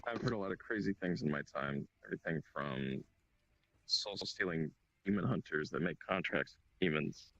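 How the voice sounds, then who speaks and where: A man's voice: deep voice, moderate pace, a bit bright, no echo, lo-fi sound. A man roleplaying casually over voice chat, asking questions and making mock threats.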